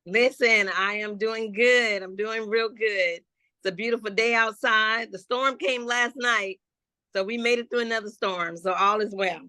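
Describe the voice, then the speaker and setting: A woman from Florida shares her personal testimony as an interview guest. Her voice is bright and forward, and she speaks slowly and thoughtfully, often pausing and restarting. The sound is close and dry. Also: fairly high pitch, clean tone, dry recording. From Jacksonville, Florida. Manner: slow, reflective, with many restarts and fillers. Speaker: gospel hip-hop artist guest.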